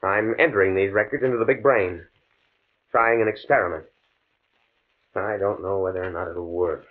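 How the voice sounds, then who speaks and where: A man's voice: low-pitched, normal speed. A man playing a determined, fatalistic professor in a 1950s radio drama, speaking in a grave, precise way. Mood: measured, intent. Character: desperate, determined male professor, coolly fatalistic scientist. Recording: muffled, band-limited old radio recording.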